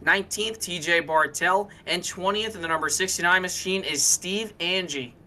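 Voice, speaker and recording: A man's voice: high-pitched, moderate pace, bright, forceful tone, a little hiss or hum. A man giving live co-commentary and analysis on a sim race in a casual, conversational way.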